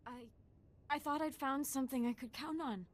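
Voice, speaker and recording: Woman acting an intense, high-voiced young apprentice. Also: normal speed, close to an everyday voice. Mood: troubled. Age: young adult. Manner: earnest, dramatic, emotional. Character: intense, idealistic young female Jedi apprentice, troubled. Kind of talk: video game voice acting.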